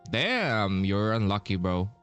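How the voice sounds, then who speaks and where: A man's voice: on the low side, very animated, slow pace, fairly bright tone. A man giving casual gaming commentary, hesitant and muttering, with some swearing. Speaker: game streamer. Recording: game music behind voice. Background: game music.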